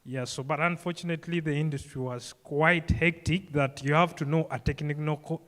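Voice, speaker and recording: Man, young adult, Ugandan accent, higher-than-average voice, informal live speech, storytelling, reverberant hall. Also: steady tone, normal speed, a bit bright. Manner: conversational storytelling with frequent "you know" and "like". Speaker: programme graduate giving a speech. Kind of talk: informal personal speech to an audience. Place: event hall, live on stage.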